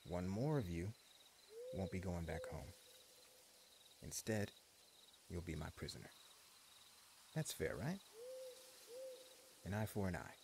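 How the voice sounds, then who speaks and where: A deep, gravelly-voiced gang leader of the wasteland, smug and cruel, who taunts his captives in a mock-friendly drawl, as a man acts him out in an audio roleplay. Faint crickets and night ambience hum behind him. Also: very animated, slow, long pauses, heavily acted. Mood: menacing. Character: menacing male post-apocalypse gang leader, smug and cruel. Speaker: voice actor.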